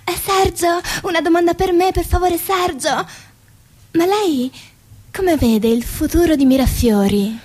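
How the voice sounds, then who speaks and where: A woman's voice: very high voice, a little slow, darkish tone. A woman playing an eager, insistent reporter vying for attention in a satirical radio play. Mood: clamouring, pleading. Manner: insistent, calling out repeatedly. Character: eager, pushy reporter clamouring at a press conference.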